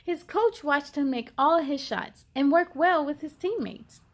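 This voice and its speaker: Woman, high voice, children's read-aloud host, expressive and encouraging delivery. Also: a little slow. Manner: encouraging, addresses young listeners directly. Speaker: story time host reading a children's picture book aloud.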